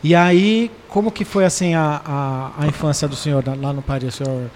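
A man's voice: a little high, steady tone, normal speed, a little mellow. A man hosting a podcast, asking questions with curious enthusiasm. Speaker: podcast host. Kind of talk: casual interview chat. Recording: faint background noise.

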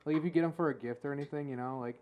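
A woman's voice: sits low, racing, phone-quality sound, over a noisy crowd. A woman co-hosting a podcast, talking in a crude, teasing, blunt way. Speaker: podcast co-host. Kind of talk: casual chat.

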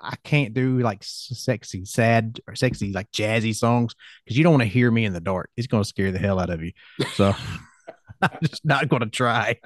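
A man's voice: lowish voice, normal speed, no echo, clean studio sound. A man with a growly voice hosting a podcast, talking in an easygoing, chatty way with frequent restarts. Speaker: podcast host. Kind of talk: casual interview chat.

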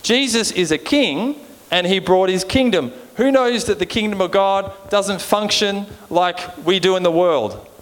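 A man's voice: high-pitched, a little slow, hardly any pauses, a bit bright. An Australian man preaching an informal sermon in a conversational way, often checking in with his listeners for agreement. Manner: conversational, seeks agreement with frequent rhetorical check-ins. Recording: long hall reverb.

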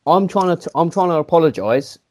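A man's voice: on the high side, lively intonation, brisk, darkish tone. Rambling man telling stories. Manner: rambling, self-mocking storyteller with restarts. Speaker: podcast co-host. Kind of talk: casual chat, anecdote telling.

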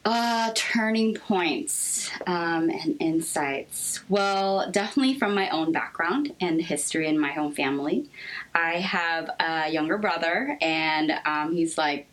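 A young adult American woman talking candidly as an interview guest, thoughtful and halting in her delivery. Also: low-pitched, a bit bright, rough recording. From United States, raised in Seattle.

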